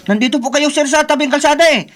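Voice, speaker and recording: Worried, polite village helper, extremely high voice, Filipino radio drama. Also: male voice, fast. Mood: concerned, polite.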